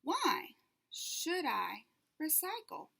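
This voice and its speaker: Animated, bright-voiced woman reading a storybook. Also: sits high, very slow, vocal fry. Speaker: teacher reading a children's book aloud. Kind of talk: expressive children's storybook read-aloud.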